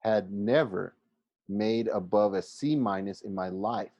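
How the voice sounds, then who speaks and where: A man reading a children's novel aloud like a teacher to a class, expressive but halting, often going back over phrases. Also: on the low side, no echo, lo-fi sound. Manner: halting, stumbles and rereads phrases.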